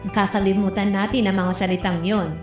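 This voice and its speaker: A woman narrating a scripted first-person story in an expressive, dramatic storytelling manner. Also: mid-range voice, fairly quick. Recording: roomy echo with music behind. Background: music.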